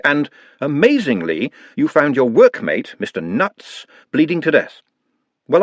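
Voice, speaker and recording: A man's voice: a little high, no room sound, muffled recording, pitched above the performer's own voice. A man voicing a tired female police sergeant, dry and sarcastic.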